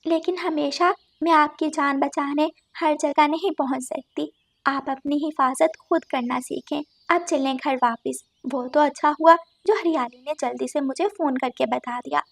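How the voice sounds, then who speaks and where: Woman as a high-voiced, sensible cartoon sparrow. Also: fairly even intonation, measured pace, gravelly, a bit bright, exaggerated voice. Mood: scolding, concerned. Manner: reproachful, sensible. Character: wise, protective, scolding female sparrow. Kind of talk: Hindi children's cartoon dialogue.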